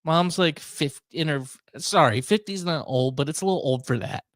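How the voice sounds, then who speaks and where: A man's voice: on the high side, moderate pace, fairly bright tone, dry recording, studio quality. A man hosting a podcast in casual, unscripted chat, with a wry, rambling, somewhat hesitant way of talking. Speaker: podcast host.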